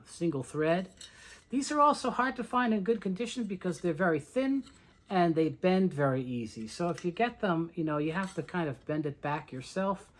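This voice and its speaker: A collector talks through a collection in an informal show-and-tell style. The voice sits at a medium pitch. The delivery is conversational and explanatory, moving from one item to the next. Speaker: collector presenting a tape reel collection.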